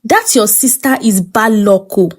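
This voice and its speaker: A cold, superstitious husband in a folktale, put on by a woman narrator with a Nigerian accent. He grumbles suspiciously, slowly and with lively swings in pitch. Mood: suspicious, irritated.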